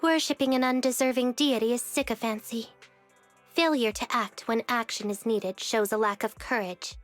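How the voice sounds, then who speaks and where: A woman playing a principled, composed young public security officer in a video game, formal and measured like a teacher. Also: a little high, fairly even intonation, faint music behind, natural voice. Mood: calm, resolute. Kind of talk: video game character acting.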